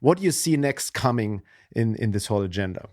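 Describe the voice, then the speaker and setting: A man's voice: on the low side, animated, a little fast, darkish tone, no room sound, studio quality. A man hosting a podcast interview, speaking in an affirming, reflective way and asking long questions. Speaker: podcast host.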